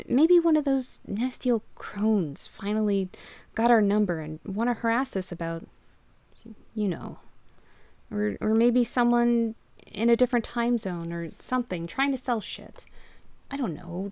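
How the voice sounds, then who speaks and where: A woman's voice: on the high side, a little mellow, no room sound. A woman voicing a frightened husband and father who urgently pleads down a phone line.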